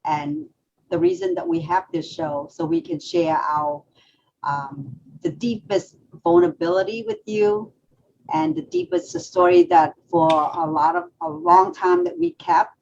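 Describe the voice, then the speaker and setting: A woman co-hosting a casual talk show, lively, laughing and restarting her phrases, heard over a video call. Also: on the low side, unhurried. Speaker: co-host. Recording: slightly dull lo-fi audio with faint background noise.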